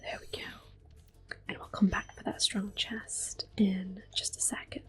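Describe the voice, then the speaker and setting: Softly whispering woman gaming host. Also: mid-range voice, level tone, breathy edge. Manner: gentle, unhurried, chatty, with occasional small exclamations. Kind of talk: soft whispered game commentary.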